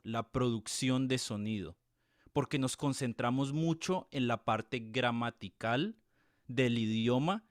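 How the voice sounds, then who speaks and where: A man from Colombia with a Colombian Spanish accent, a tutor and music teacher answering interview questions in a measured, explanatory way, often checking in with a quick tag question. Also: medium pitch, no room sound, studio quality.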